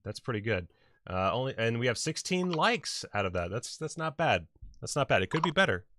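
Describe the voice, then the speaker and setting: A man's voice: mid-range voice, sing-song, fairly quick, crowd noise. A man hosting a casual movie-talk livestream, rambling, swearing freely and mocking himself. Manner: rambling, profane, self-mocking. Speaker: podcast host.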